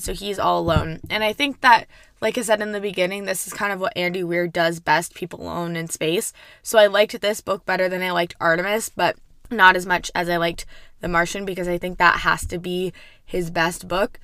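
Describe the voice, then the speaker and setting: A young woman hosting a casual book review podcast, her voice hoarse and gravelly from overuse, rambling chattily with casual swearing. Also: on the low side, bright, forceful tone, no room sound, studio quality. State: hoarse, worn-out voice. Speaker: podcast host.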